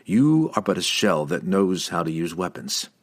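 A man voicing a principled, defiant dark elf warrior in a dry, formal manner for a fantasy audiobook. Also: sits low, big pitch swings, normal speed, no echo. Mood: grave defiance.

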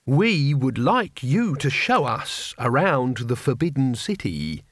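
A polite, bumbling old man, acted by a male narrator, slow and halting as he gropes for each word. His pitch swings in a lively way, dry and close, in fantasy audiobook style. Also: dry recording. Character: courteous, bumbling goat-faced old man, stumbling foreign speaker.

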